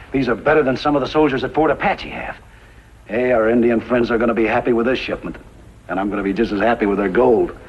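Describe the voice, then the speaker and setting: An actor playing a greedy, cocky gunrunning boss in a 1950s radio western, with a folksy, self-assured delivery. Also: male voice, medium pitch, brisk, natural voice. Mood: gleeful. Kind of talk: 1950s radio drama acting. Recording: muffled, hissy old radio recording.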